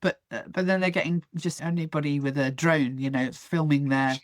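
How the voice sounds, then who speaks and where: A woman's voice: deep voice, steady tone, moderate pace, no echo. Woman host asking questions, lots of ums, dead dry sound. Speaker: podcast host. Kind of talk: interview questions.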